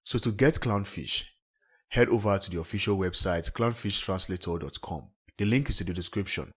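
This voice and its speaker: A man narrating a scripted step-by-step software tutorial as a tech host. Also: sits low, moderate pace, husky.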